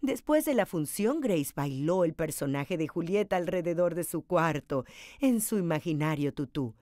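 A woman reads a picture book aloud as a storyteller. Her voice sits a little low for a woman but moves up and down with lively expression. The sound is dry, with hardly any room on it. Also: moderate pace, no echo. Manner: expressive, dramatized storytelling delivery.

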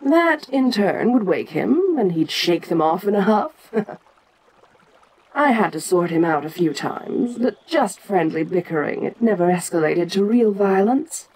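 A woman playing an ancient, imperious giant monster queen in an audio roleplay, speaking in a regal, deliberate and musing manner with frequent pauses. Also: mid-range voice, expressive, darkish tone, poor audio. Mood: amused, fond. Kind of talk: slow in-character audio roleplay monologue.

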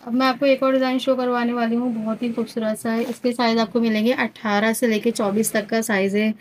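A woman's voice: fairly high pitch, little pitch movement, brisk, rough recording. A woman with a Pakistani accent presenting stock in an informal sales style, repeating herself and mixing in English words. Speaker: shop presenter showing wholesale stock. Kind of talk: informal product showcase sales talk. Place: indoor garment shop packed with fabric. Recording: clear background noise, handheld phone sound.

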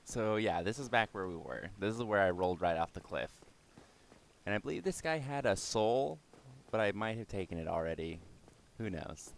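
A young man with a somewhat low voice, a little raspy and hoarse from yelling the day before. He narrates his gameplay casually, cursing at enemies and laughing at his own mistakes. He talks into a desk microphone. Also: animated, moderate pace, a little hiss or hum. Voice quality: slightly raspy. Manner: swears and exclaims at the game, self-mocking. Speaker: gaming channel host. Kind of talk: casual let's-play commentary.

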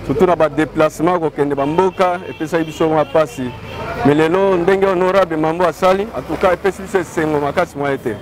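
A man's voice: on the high side, fairly even intonation, fast, low-quality recording. A Congolese man in his forties, a local party official, giving an interview statement. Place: covered outdoor yard at rally. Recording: outdoor gathering with loud crowd.

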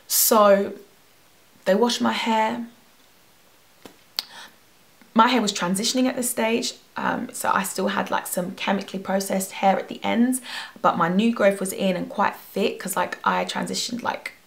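A young woman telling an animated storytime about a hair salon, filmed talking to the camera at home. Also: medium pitch, gravelly. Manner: animated, expressive, gesturing a lot. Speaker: hair vlogger. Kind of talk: storytime vlog. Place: bedroom at home. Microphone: camera at face distance.

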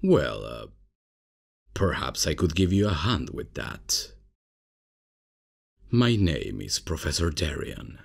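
A man playing a cocky, casual, unprofessional substitute professor in an ASMR comedy roleplay, with a husky, gravelly voice and a hesitant, improvising way of talking. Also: extremely low pitch, sing-song, slow, pauses often, full character voice. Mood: casual, friendly. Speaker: roleplay performer.

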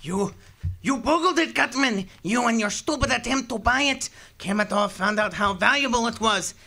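Shrill, jittery crook. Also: male voice, normal speed, gravelly, sharp tone, heavily acted. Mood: furious. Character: jittery, high-strung male crook, excitable and indignant. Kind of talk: old-time detective radio drama acting.

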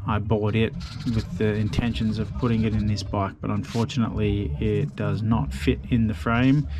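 A man casually narrating his motorbike rebuild in a relaxed, rambling way, with lots of fillers and dry self-deprecating asides. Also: on the low side, moderate pace, noisy background. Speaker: motorbike restoration vlogger. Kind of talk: casual workshop voice-over narration.

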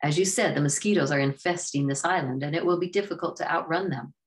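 A woman voicing a calm, wise male bee who guides a weary traveler, speaking in a patient, measured way. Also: deep voice, close to an everyday voice. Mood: coaching. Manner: patient, measured, gently coaching.

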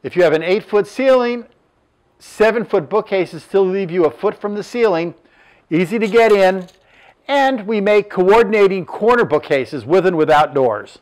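A man's voice: high voice, animated, moderate pace. Older man presenting showroom furniture. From Southern California. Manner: plain, matter-of-fact, lists measurements with fillers. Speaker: furniture business owner. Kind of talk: product presentation.

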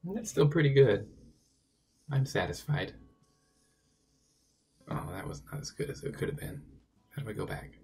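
Hoarse, gravelly man chatting while gaming. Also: mid-range voice, sing-song, moderate pace, pauses often, a little mellow. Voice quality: gravelly, raspy. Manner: chatty, talks to himself and the game. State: hoarse from a sore throat. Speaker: let's play gamer. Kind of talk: casual gameplay commentary.

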